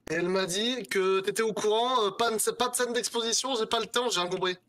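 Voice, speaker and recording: Guy roleplaying, really fast n bright forceful voice, blunt slang. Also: sits high. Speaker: roleplayer playing the male cousin character. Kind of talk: casual in-character improvised chat.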